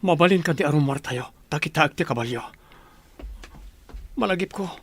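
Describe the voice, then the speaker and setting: A man's voice: a little high, animated, a little fast, dry recording. A man with an Ilocano accent playing a bewildered amnesiac in a radio drama. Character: bewildered man slowly regaining his lost memory.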